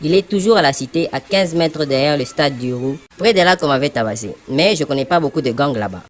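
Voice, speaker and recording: Woman voicing a low-pitched, eager cartoon boy. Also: animated, brisk, a little hiss or hum. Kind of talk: animated cartoon dialogue.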